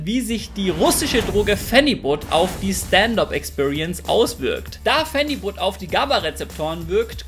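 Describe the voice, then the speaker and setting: A man's voice: sits high, pushed and bright. A young man talking straight to viewers about his stand-up attempts, recorded close up in a small room at home, upbeat and direct. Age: young adult. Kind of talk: scripted vlog narration.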